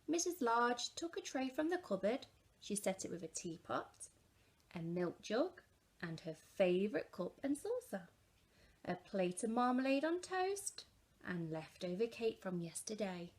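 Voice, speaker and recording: A woman's voice: a little high, big pitch swings, unhurried. A woman reading a picture-book story aloud expressively for young children.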